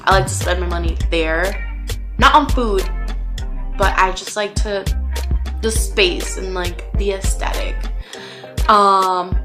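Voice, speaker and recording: A woman's voice: mid-range voice, slow pace, long pauses. A woman in her twenties from Puerto Rico, chatting casually and self-mockingly about herself with plenty of ums and likes. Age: 20s. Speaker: vlogger. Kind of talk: casual get-to-know-me chat. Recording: music playing clearly behind the voice.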